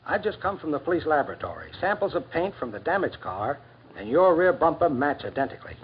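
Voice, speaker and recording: A man's voice: fairly high pitch, very animated. A man playing a polite but insistent, matter-of-fact police lieutenant in a 1940s educational film. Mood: firm, factual. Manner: formal, deliberate, official. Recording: muffled 1940s film soundtrack, faint noise.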